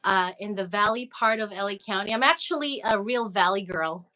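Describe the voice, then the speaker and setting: A woman who was born in the Philippines and grew up in Los Angeles, an indie music artist speaking from a recording studio as an interview guest, chatty and quick to laugh. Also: medium pitch, bright, forceful tone, low-quality recording. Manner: bubbly, laughs often, chatty. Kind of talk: casual interview over a call.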